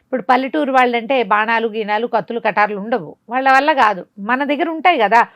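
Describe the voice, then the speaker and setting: A woman telling a bedtime tale in an animated, conversational way, dramatically and with rhetorical questions. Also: fairly high pitch, fast talker, no room sound. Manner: dramatic, asks rhetorical questions as she narrates. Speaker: storyteller.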